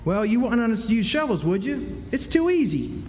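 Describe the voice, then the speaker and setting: A man's voice: extremely high pitch, big pitch swings, fairly quick, darkish tone. An actor playing a bossy, fanciful American boy in a live radio drama, speaking insistently in emphatic folksy dialect. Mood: stubborn. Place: historic club hall, live performance. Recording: echoey hall stage, faint music.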